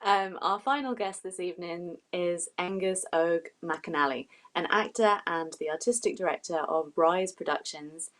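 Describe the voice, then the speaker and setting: A woman hosts a live online interview show. Her voice sits a little low as she introduces guests and puts questions to them. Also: normal speed, hardly any pauses. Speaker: show host.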